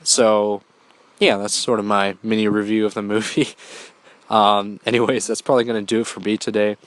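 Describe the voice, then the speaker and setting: Male host, slightly low, stuffed-up cold voice, rambling offhand reviewer, dry close mic. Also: little pitch movement, normal speed, no room sound. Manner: rambling, filler-heavy, swears casually. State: congested from a cold. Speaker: podcast host. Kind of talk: casual solo movie review.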